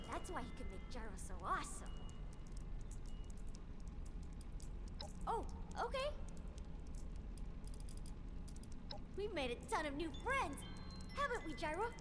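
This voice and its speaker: A high, childlike game character, a young boy hungry for adventure. The delivery is very animated, eager and chatty, with proud, slangy kid phrasing. Loud music plays along with the voice. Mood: cheerful. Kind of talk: video game character dialogue.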